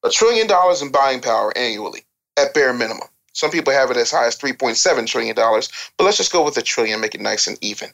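A man's voice: medium pitch, lively intonation, quick pace, creaky, a bit bright. A man with an American accent arguing his opinions assertively, repeating points for emphasis in casual speech. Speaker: podcast panelist. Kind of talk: opinionated discussion.

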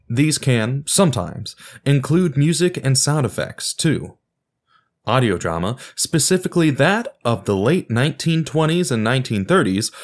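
A man hosting a podcast, reading scripted narration in an explanatory, presentational way. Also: mid-range voice. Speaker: podcast host.

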